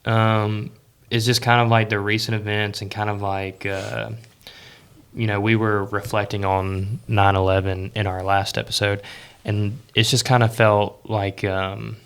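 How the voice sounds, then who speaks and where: A man hosting a podcast, explaining things in a casual, halting and tentative way. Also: fairly low pitch, level tone, fairly bright tone, clear, resonant tone. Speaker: podcast host. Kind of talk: casual chat.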